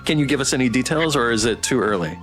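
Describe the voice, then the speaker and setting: A man with a medium-pitched voice hosts a casual livestream interview. He is encouraging and enthusiastic, with music playing softly behind him. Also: expressive, fast. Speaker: stream host.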